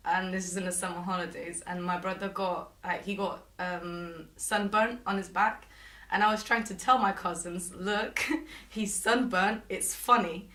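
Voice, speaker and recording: Young Portuguese-born woman in an echoey room. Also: lowish voice. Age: 20s. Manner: relaxed, laughs easily, thoughtful pauses. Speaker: interviewee. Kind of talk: casual interview.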